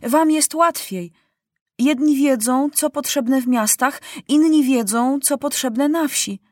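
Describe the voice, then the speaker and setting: A woman voicing an earnest, idealistic young boy king who makes a slow, careful speech. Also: high voice, a little mellow, no room sound, clean studio sound, voice pushed up.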